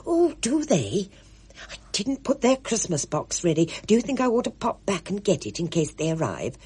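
A woman voicing a cheerful, excitable little older woman who chatters merrily in a high, fluttery voice. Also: moderate pace, slightly rough. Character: chirpy little red-faced older woman.

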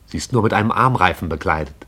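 A man narrates a radio play in a measured, poetic way. His voice sits fairly low. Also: animated, bright, forceful tone, husky.

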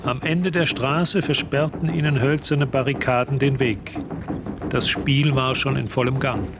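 A man narrating a radio drama in a measured, literary storytelling manner. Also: medium pitch, background noise, lightly acted. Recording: loud music and street sounds behind.

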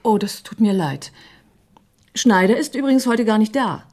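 An actress playing a cool, quick-witted company secretary in a German radio drama, composed and wryly teasing. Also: female voice, fairly high pitch, animated, a little mellow. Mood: composed, polite.

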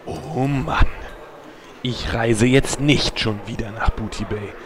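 A man's voice: mid-range voice, fairly even intonation, slow pace, music in the background, natural voice. A man playing a crude, grumbling, reluctant adventurer in a fantasy parody radio play, with noise behind him. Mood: annoyed.

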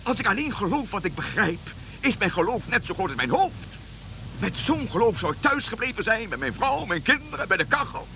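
A fervent old king on a pilgrimage, high-pitched and swooping in tone. He stammers and repeats himself with dreamy conviction, in a radio play on a hissy old recording. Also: very animated, a little fast, sharp tone, exaggerated voice. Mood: earnest. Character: old Balthasar, fervent dreamy wandering king following a star. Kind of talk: Dutch radio play acting.

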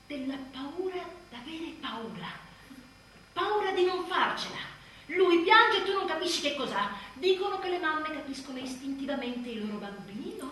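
Echoey, animated overwhelmed new mother. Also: sits high, exaggerated voice. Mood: anxious, vulnerable. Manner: expressive, emphatic, with abrupt swings in volume. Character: overwhelmed, inadequate new mother, wry and exasperated. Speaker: stage actress performing a monologue. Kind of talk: theatrical comic-dramatic monologue. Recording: echoey room, distant mic, faint noise.

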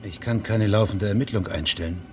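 An actor playing a dogged, formal middle-aged judge in a German radio drama, measured and unyielding. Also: male voice, fairly low pitch, over music, close to an everyday voice. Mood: firm, measured.

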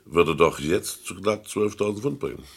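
An actor playing a seasoned, discreet art appraiser in a German crime radio play, deliberate, polite and soothing. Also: male voice, animated, a little hiss or hum. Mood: polite, knowing. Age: middle-aged or older. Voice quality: very deep, husky.

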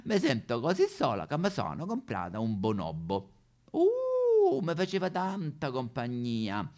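Lonely, melodramatic Roman woman played by a man, stage comedy monologue, histrionic delivery with extremely animated, swooping pitch. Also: measured pace, heavily acted. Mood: dramatic storytelling. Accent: Roman Italian. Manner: histrionic, repetitive, swinging between whispers and wailing. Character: lonely, melodramatic woman recounting absurd pet disasters. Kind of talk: theatrical comic monologue.

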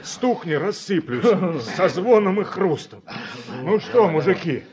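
An actor playing a joking, good-natured Soviet combine operator who banters colloquially in a radio drama. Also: male voice, sits high, animated, a little slow. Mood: bantering. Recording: dull old radio recording, chattering crowd.

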